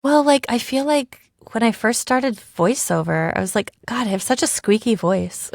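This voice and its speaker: A woman's voice: on the high side, lively intonation, normal speed, creaky, darkish tone, dry recording. A woman in her forties co-hosting a podcast, a voiceover artist who talks in a chatty, playful way and often breaks off and restarts her sentences. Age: 40s. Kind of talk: casual podcast chat.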